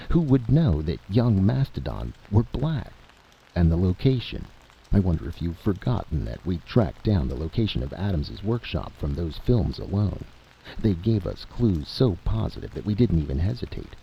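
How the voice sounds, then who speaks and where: A man voicing an exasperated, forceful general who argues emphatically and impatiently in a story reading, on a dull, noisy recording. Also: sits low, gravelly, pitched above the performer's own voice, heavily acted. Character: exasperated, forceful male military general arguing his case. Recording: dull lo-fi audio with steady hiss.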